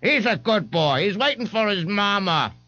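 A man's voice: high-pitched, big pitch swings, moderate pace, pushed and bright, no echo, highs cut off, pitched above the performer's own voice, full character voice. A man voicing an indignant, fussy elderly man in a street crowd.

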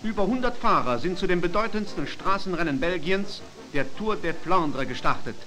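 A man's voice: high voice, expressive, moderate pace, rough recording. A man narrating a wartime 1940s newsreel from a script in a formal, declarative announcer style, on an archival soundtrack. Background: loud music.

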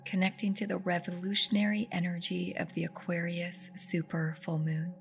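A woman leading a guided meditation in a soft, soothing, unhurried voice that turns breathy at phrase ends. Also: lowish voice, little pitch movement. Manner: soothing, unhurried, with long pauses. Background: meditative music with singing bowls.

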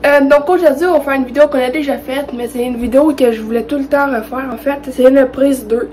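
High-voiced young Quebec woman with a cold. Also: fairly even intonation, fast talker, darkish tone. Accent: Quebec French. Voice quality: congested from a cold. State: has a cold. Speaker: vlogger. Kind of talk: casual cooking tutorial to camera. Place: home kitchen. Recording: clear background noise.

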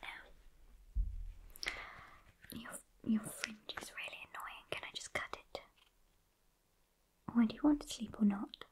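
A woman's voice: on the high side, darkish tone, low-quality recording. Whispering British woman as a sassy witch. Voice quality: breathy whisper. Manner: sassy, haughty, unhurried. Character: sassy, haughty, centuries-old witch woman. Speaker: ASMR performer playing a witch. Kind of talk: whispered ASMR roleplay. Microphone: close microphone just off camera.

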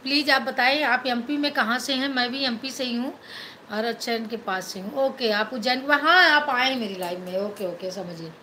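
A middle-aged woman from Madhya Pradesh chats through her phone on a live stream, greeting viewers and thanking them over and over. Her voice is bright and sits a little high, with a faint hiss of room noise under it. She is recorded in a small indoor room. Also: moderate pace. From Madhya Pradesh, India. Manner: chatty, thanks viewers over and over, mixes English into Hindi. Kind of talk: casual live-stream chat, reading and answering comments. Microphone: phone held at arm's length.

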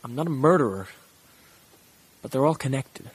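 A man plays a young murder suspect in an audio drama. His voice is husky and mid-pitched. He sounds bitter and defensive, with a curt, guarded delivery. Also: lively intonation, moderate pace, low-quality recording. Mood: pleading.

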